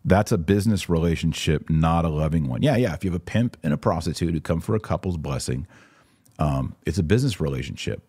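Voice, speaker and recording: A man with a deep, slightly husky voice hosts a live religious commentary show. He speaks emphatically and repeats short phrases to drive a point home. The sound is close and dry. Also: lively intonation, quick pace, mellow, no echo. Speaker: podcast host and religious commentator. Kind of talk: live commentary answering viewer questions.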